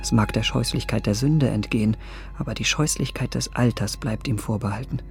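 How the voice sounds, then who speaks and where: An actor playing a refined, secretive young gentleman in a radio play, speaking politely and formally. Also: medium pitch, brisk, creaky, faint music behind, natural voice. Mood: brooding, anguished. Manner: polite, well-spoken, formal.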